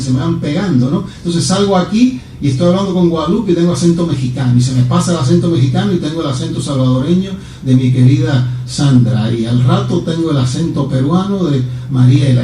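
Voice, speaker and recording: A man from Cuba holds forth as a visiting poet, animated and digressive, asking for agreement as he goes. His voice is a little high-set but mellow. He sits well back from the microphone in a very echoey hall with a faint hiss. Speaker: guest poet answering questions. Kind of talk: discursive talk and Q&A answers. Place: lecture room. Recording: roomy hall, speaker far from the mic, faint hiss.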